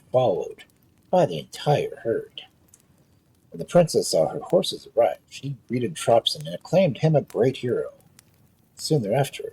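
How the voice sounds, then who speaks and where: A man's voice: medium pitch, mellow, slightly rough, poor audio. A man working as a storyteller, reading a folk tale aloud.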